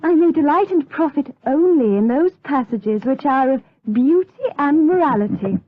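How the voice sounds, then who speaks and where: Innocent, high-voiced gothic maiden. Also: female voice, lively intonation, normal speed, phone-quality sound. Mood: earnest, tender. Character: innocent, devout, trusting young Spanish maiden, devoted daughter. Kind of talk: gothic radio drama acting.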